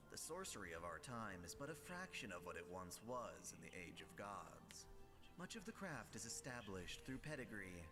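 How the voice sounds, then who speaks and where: A man voicing a stern, aloof mage professor who speaks with disdain. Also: fairly low pitch. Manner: haughty, disdainful. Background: music.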